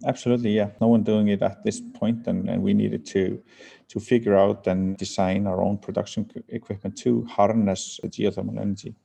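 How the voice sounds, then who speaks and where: A man from Iceland answering interview questions as a company founder, speaking haltingly with many fillers and restarts. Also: fairly low pitch, little pitch movement, vocal fry, mellow, breathy edge.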